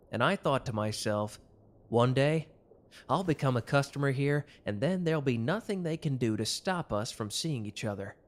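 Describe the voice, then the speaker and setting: A man voicing a protective young male mafia member in an ASMR roleplay, speaking softly and reassuringly. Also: mid-range voice, close to an everyday voice. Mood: tender. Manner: soft, intimate, reassuring.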